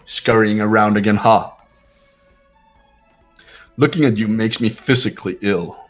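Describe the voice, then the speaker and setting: A man voicing a haughty, disdainful young rich student with a husky, pompous delivery in a fan-voiced visual novel game. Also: on the low side, a little slow, soft background music. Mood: contemptuous. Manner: pompous, condescending.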